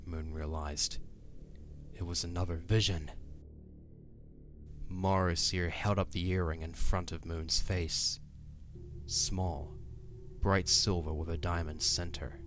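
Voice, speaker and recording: A man's voice: low voice, little pitch movement, slow, long pauses, a bit bright. A man narrating a fantasy audio drama in a dramatic, scripted storytelling style. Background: music.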